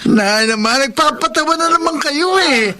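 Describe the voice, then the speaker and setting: High-pitched bitter teenage Filipino boy. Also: lively intonation, normal speed, noisy background. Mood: mocking. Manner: sarcastic, stubborn. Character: bitter defiant teenage son turned highway robber. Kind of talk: Filipino radio drama acting.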